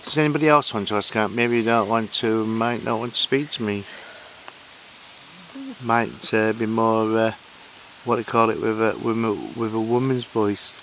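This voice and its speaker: A man with a Sheffield accent on an outdoor ghost hunt, calling out to spirits in a halting way, full of hesitations. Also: fairly low pitch, steady tone, a bit bright, a little hiss or hum, poor audio. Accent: Sheffield Yorkshire. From Sheffield, England. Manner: hesitant, halting, many fillers. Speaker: paranormal investigator. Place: outdoors at a woodland waterfall site.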